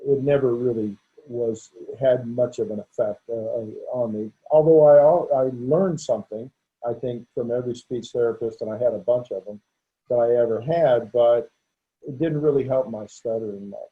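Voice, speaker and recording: A man's voice: mid-range voice, normal speed. A man in his sixties, a veterinarian being interviewed, talking openly and stuttering with repetitions and restarts. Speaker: guest, veterinarian. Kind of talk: conversational interview. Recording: dull lo-fi audio.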